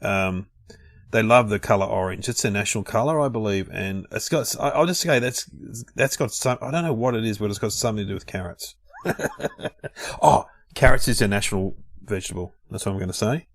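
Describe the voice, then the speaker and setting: A man in his fifties co-hosts a podcast in easy, musing casual chat. His voice sits a little low. The sound is dry and close. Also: fairly quick, no echo. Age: 50s. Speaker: podcast co-host.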